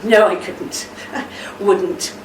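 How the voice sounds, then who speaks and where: A woman playing a devoted, steadfast older army wife in a radio play, with a measured, tender delivery. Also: medium pitch, fairly even intonation. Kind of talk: amateur radio play acting. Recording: roomy, distant mic, faint noise.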